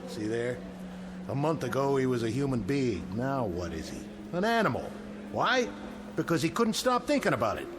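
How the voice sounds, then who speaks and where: An actor playing a tough, streetwise death row convict, blunt and colloquial, in mid-century television drama style. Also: male voice, medium pitch, very animated, normal speed, background noise, natural voice. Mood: irritated, blunt. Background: dramatic music.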